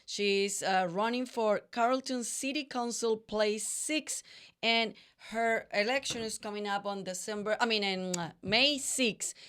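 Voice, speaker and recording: Colombian-accented woman hosting a live talk show, chatty, smooth and resonant. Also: mid-range voice, measured pace. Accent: Colombian Spanish. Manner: warm chatty, non-native grammar. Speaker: show host.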